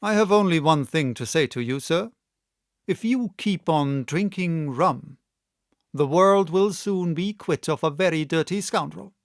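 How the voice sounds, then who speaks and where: A man voicing a calm, stern gentleman doctor and magistrate in an audiobook, speaking clearly and with composed authority. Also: a little high, unhurried, dry recording, studio quality. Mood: cold contempt. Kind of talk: audiobook character voice.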